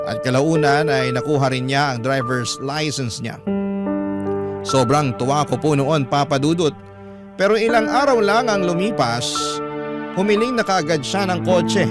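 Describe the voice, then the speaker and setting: A man narrating a listener's letter as a dramatic radio story. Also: on the high side. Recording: loud music under the voice. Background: loud instrumental music.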